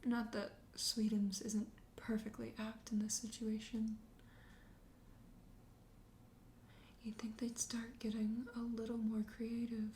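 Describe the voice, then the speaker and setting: A woman voicing a teasing, flirtatious, self-assured vampire host in a soft, breathy ASMR whisper. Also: on the high side, monotone. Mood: gentle, charming. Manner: teasing, slow, coaxing. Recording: roomy echo, faint background noise.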